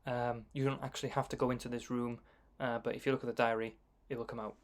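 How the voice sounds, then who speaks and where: Medium-pitched man giving game commentary. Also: flat delivery, quick pace, heavy background noise. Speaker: gaming video commentator. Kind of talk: explanatory voice-over commentary.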